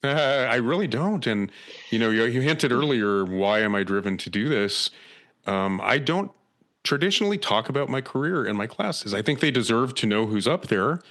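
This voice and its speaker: A middle-aged man hosting a podcast, losing his voice and talking in an animated, joking, tongue-in-cheek way. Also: medium pitch, normal speed. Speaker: podcast host. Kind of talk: casual conversation.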